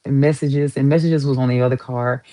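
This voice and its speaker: A woman's voice: rumbling low, steady tone, a little fast, warm tone. A woman giving a casual tarot reading to her viewers, chatty and emphatic, swearing casually and repeating herself for emphasis. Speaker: tarot reader.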